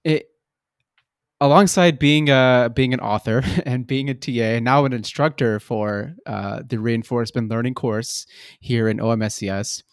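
Young man, podcast host, polite hesitant questions, mid pitch, dry close mic. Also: moderate pace, no room sound. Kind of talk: casual interview conversation.

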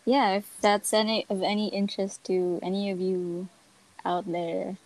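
A young woman from the Philippines co-hosting a casual podcast, easygoing and quick with short agreements. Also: medium pitch, level tone, darkish tone, poor audio. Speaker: podcast co-host. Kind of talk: casual chat.

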